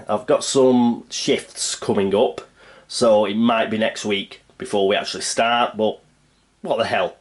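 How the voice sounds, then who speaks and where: A man with a stuffy nose from a cold, chatting casually through a board game setup and thinking aloud with plenty of fillers. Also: medium pitch. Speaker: board game channel host.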